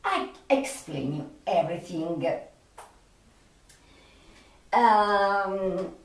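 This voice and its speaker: A woman with non-native English talks very slowly, with frequent hesitations as she searches for her words. Her pitch moves in lively swings while she explains things off the cuff. The sound is echoey and roomy. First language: not English. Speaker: video presenter. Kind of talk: unscripted explanatory vlog talk. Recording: roomy echo, distant microphone.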